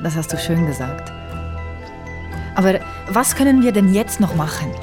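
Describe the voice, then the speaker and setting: A woman's voice: on the low side, very animated, moderate pace, music in the background. An actress playing a sceptical, down-to-earth female confidante in a radio drama. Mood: soothing.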